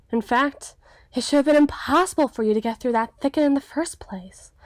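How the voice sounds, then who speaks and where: A woman's voice: on the high side, no room sound, studio quality. A woman playing a young, melancholy, self-doubting storybook damsel in an ASMR fantasy roleplay, with theatrical, hesitant, old-fashioned formal phrasing.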